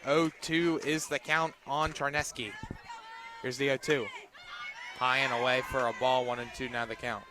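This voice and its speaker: A man doing live radio play-by-play of a softball game as the announcer. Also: mid-range voice, level tone, normal speed. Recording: noisy outdoor broadcast with crowd behind. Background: crowd and outdoor ambience.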